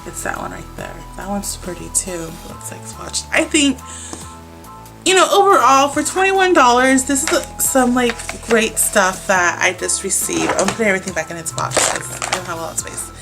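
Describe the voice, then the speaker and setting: A woman's voice: mid-range voice, lively intonation, moderate pace, vocal fry, over music. A young woman vlogger with a congested, stuffy voice from a cold, chatting casually and rambling with lots of "like" and "um" as she unboxes beauty products. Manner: chatty, rambling, many likes and ums.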